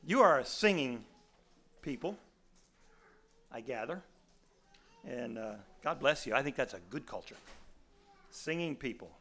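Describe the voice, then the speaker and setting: A man in his sixties with a raspy voice, preaching a sermon in a folksy storytelling way with many restarts. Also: medium pitch, animated, measured pace, pauses often, a bit bright.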